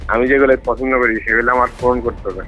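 Bright-voiced Bangladeshi man on a phone call. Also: mid-range voice, steady tone, fast talker. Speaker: hospital ward master. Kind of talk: private phone conversation. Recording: recorded phone call with music. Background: music.

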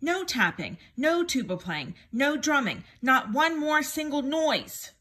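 A woman's voice: high-pitched, sing-song, slow, a bit bright, voice pushed up, exaggerated voice. A woman voicing an exasperated, sleepy young child in a storybook, shushing and scolding insistently. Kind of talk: storybook character acting.

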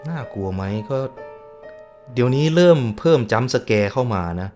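A man's voice: mid-range voice, animated, normal speed, darkish tone, faint music behind. A man hosting a reaction video who mutters casual asides and reads on-screen text aloud as he watches.

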